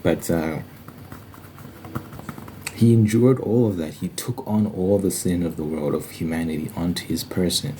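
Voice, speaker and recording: A man's voice: sits low, measured pace, long pauses, mellow, a little hiss or hum. A man giving an informal, earnest Bible study monologue in an ASMR style, repeating phrases for emphasis.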